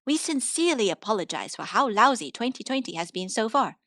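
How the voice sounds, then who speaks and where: A woman's voice: a little high, sing-song, fairly quick, fairly bright tone, dry recording, studio quality. A woman giving a scripted reading of literary prose for a podcast. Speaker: reader of poetry and prose on a podcast.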